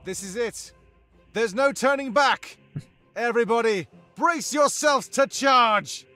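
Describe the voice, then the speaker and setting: A man's voice: high-pitched, lively intonation, measured pace, a bit bright, soft background music, voice pushed up. A man voicing a bold rebel commander in a fantasy visual novel, emphatic and commanding as he addresses his troops. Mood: urgent rallying.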